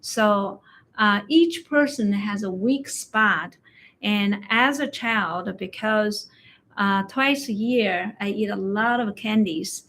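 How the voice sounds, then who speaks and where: A woman acupuncturist, a non-native English speaker whose first language is Chinese, chatting in a slow, halting way about health, often telling stories about patients. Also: mid-range voice, a bit bright. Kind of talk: casual health chat. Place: livestream conversation.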